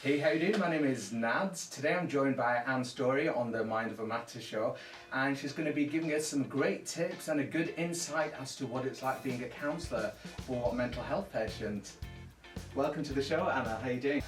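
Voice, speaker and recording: A man's voice: mid-range voice, normal speed. A man, hosting an interview and asking friendly, rambling questions. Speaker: host. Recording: echoey room, distant mic, faint music.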